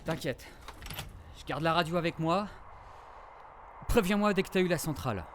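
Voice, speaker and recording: An actor playing a tense, protective forest ranger in a naturalistic audio drama, urgent and stammering. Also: male voice, on the high side, animated, moderate pace, a bit bright. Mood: determined. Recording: background noise under the voice.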